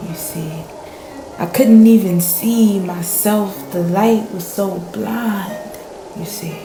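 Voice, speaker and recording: Slow, echoey woman acting a shaken fisher storyteller. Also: mid-range voice, warm tone, a little hiss or hum. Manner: halting, dramatic storytelling with pauses and repetition. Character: shaken spiritual fisher recounting a near-death angel encounter. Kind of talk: radio play monologue. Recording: roomy echo with music underneath.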